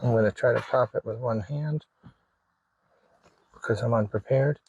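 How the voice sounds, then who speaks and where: A man's voice: mid-range voice, normal speed, a little mellow, muffled recording, slight background noise. A man narrating a hands-on DIY vlog in an informal way, thinking aloud as he works. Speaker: video creator showing a DIY radio install.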